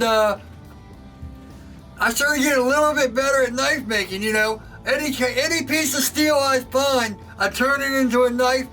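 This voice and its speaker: A man with a very high, bright voice talks excitedly to his camera, projecting loudly and breaking into laughter. He's recorded from some distance in his workshop, with faint music underneath. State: sore throat. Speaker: knifemaker and channel host. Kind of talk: casual talk to camera. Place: home workshop.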